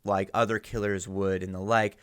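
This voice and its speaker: A man's voice: sits low, level tone, normal speed, fairly bright tone, smooth, no room sound, studio quality. A man giving casual, rambling reaction commentary as a host, often tagging his phrases with "right?". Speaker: host reacting to and analysing an audio drama.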